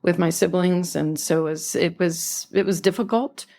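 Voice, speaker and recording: A woman's voice: on the low side, fairly even intonation, moderate pace, gravelly, dark tone. An older woman from California, a podcast guest talking earnestly and reflectively about her life. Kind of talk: conversational interview.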